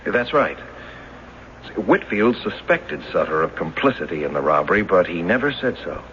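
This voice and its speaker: An actor in a radio drama playing a mild, earnest schoolteacher who speaks in a halting, hesitant way. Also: male voice, sits low, very animated, pushed and bright, exaggerated voice. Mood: halting, sheepish. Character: earnest mild schoolteacher, former bank teller, recalling a robbery. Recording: dull hissy old radio recording.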